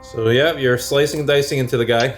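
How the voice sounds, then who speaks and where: A man's voice: mid-range voice, fairly even intonation, a little fast, faint music behind. A man running a tabletop game, narrating action and explaining rules in a casual, conversational way. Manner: informal, conversational. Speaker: game master.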